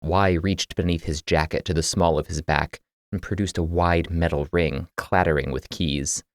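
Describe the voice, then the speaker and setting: A man's voice: deep voice, steady tone, normal speed, no echo, clean studio sound. A man reading scripted fiction as an audiobook narrator.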